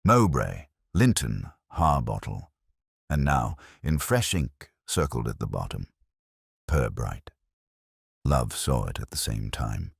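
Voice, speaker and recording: A man's voice: very deep voice, big pitch swings, slow, gravelly, husky, no echo, studio quality. A man narrating a scripted mystery story.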